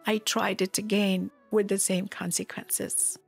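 A woman narrates a memoir with slow, deliberate delivery. Her voice has a gravelly fry at the edges, and she keeps a solemn tone over a musical backing. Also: medium pitch, flat delivery. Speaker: spiritual teacher, narrator. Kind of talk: scripted memoir narration, read aloud. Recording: music behind the voice.

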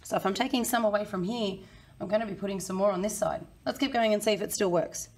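Croaky-voiced woman teaching kids maths. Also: mid-range voice, quick pace. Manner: friendly, encouraging, talks to kids. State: hoarse, croaky voice. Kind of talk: children's maths lesson. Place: small indoor room.

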